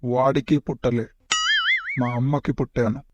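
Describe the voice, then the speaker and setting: A man voicing a cunning adult prince who answers back with cheeky deadpan in a parody cartoon. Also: mid-range voice, normal speed, dull audio, loud music behind the voice, natural voice. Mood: retorting. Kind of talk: Telugu parody cartoon dubbing.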